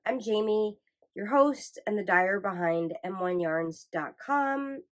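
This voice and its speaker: A woman's voice: mid-range voice, expressive, takes it slowly. A woman from Michigan hosting a knitting podcast, chatting in a rambling, self-correcting way and sounding nasal from a cold. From Michigan, USA. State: congested from a cold. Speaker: podcast host. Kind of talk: casual chat.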